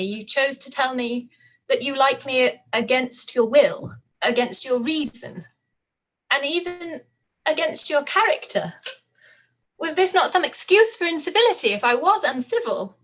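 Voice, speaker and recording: A spirited young gentlewoman of the Regency era, acted by a woman for a radio play. The voice is high, and the words are measured, articulate and pointed, with composed pride. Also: poor audio. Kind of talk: radio play live reading.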